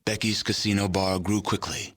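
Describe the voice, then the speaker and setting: A man playing a grave, world-weary narrator of post-apocalyptic history in a scripted video game epilogue, with measured, solemn delivery. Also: fairly low pitch, flat delivery, fairly bright tone, close to an everyday voice.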